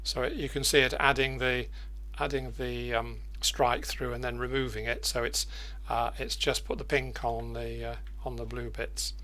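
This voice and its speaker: An older man presents an informal screencast tutorial in a medium-pitched voice. He thinks aloud as he works, hesitating while he explains each step. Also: level tone, normal speed, bright, forceful tone. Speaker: presenter of editing macro tutorials.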